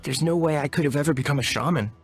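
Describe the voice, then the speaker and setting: A man's voice: on the high side, racing, husky. An actor playing an earnest, courteous and wordy young shaman apprentice in a video game, speaking in a formal, polite manner. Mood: earnest, deferential. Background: music.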